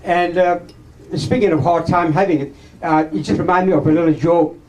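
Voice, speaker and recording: Man, 70s, Iranian accent, Farsi native, high-pitched voice, joking acceptance speech, halting. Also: fairly even intonation, darkish tone, rough recording. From Iran. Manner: humble, joking, hesitant with many uhs. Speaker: award recipient, author.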